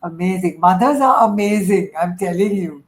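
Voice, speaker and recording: A woman's voice: fairly low pitch, expressive, moderate pace. Effusive woman hosting a chat. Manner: effusive and encouraging. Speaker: host of a group chat. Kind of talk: moderating a casual panel discussion.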